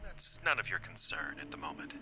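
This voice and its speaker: An actor playing a sinister, coolly polite captor in an audio drama, speaking in formal, measured, mockingly courteous threats. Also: male voice, very deep voice, very animated, pushed and bright, full character voice. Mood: calm menace.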